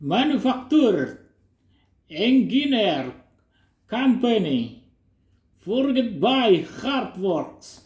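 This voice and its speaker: A man with a Russian accent talks through an unboxing in a high, animated voice. He speaks very slowly in halting English, with long pauses between phrases. The room around him is echoey. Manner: slow, halting non-native English with pauses. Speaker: unboxing vlogger. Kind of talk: casual unboxing commentary.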